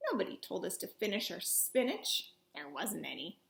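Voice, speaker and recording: A woman reading a children's story aloud with theatrical, expressive emphasis in a roomy, echoing space. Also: on the low side, normal speed, vocal fry. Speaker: storybook reader.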